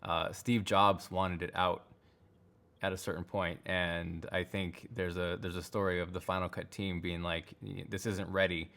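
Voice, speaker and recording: A man's voice: deep voice, little pitch movement, normal speed, gravelly, a bit bright. A man speaking as a podcast guest in a casual, thoughtful and opinionated tech discussion.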